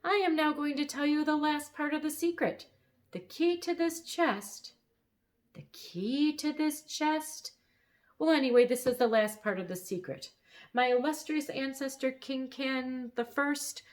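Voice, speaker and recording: A woman voicing a pompous, excitable male bird king who shouts his orders. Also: high-pitched, voice pushed up. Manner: loud, bossy, excitable.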